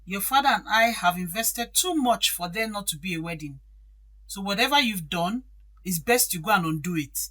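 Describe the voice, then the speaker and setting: A woman's voice: medium pitch, animated, normal speed, fairly bright tone. An actress playing a domineering, impatient middle-aged stepmother in an audio drama, stern and admonishing. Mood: indignant, scolding.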